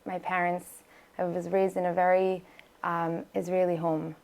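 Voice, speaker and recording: A woman from the United States, raised speaking Hebrew, talks as a podcast guest. Her voice sits a little low, and she chats freely and loosely, full of fillers and restarts. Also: level tone, normal speed, gravelly, darkish tone. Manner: chatty, many fillers and restarts. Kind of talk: casual interview talk.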